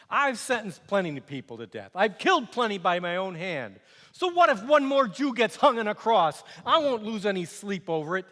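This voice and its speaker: Sardonic Roman governor for stage monologue, male actor: high-pitched, forceful, scornful and exasperated, theatrical delivery. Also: normal speed. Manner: animated, scornful, exasperated, swinging from quiet asides to outbursts. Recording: echoey hall with long reverb.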